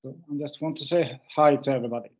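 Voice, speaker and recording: A man's voice: mid-range voice, fairly even intonation, fast talker, husky. Man on a tinny call line. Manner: brief, matter-of-fact. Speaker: project leader. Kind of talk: self-introduction on a video call. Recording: tinny phone-like call audio.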